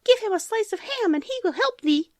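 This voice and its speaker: Tiny squeaking mice, as a woman puts them on. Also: animated, a little fast, a little mellow, no room sound, studio quality. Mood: pleading. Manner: high squeak.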